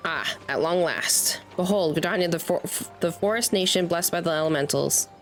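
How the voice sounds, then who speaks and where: A woman's voice: fairly low pitch, normal speed, natural voice. A woman voicing a chatty, folksy old male peddler from a fantasy game, reading the lines aloud with a rustic turn of phrase. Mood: informative. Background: game music.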